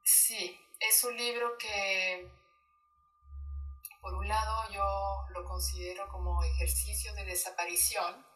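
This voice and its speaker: Thirties woman, Chilean accent with a Mexican blend; relaxed, thoughtful interviewee heard from a distance in an echoey room. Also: mid-range voice. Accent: Chilean Spanish mixed with Mexican. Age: 30s. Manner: thoughtful, pausing, laughs often. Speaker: poet and guest.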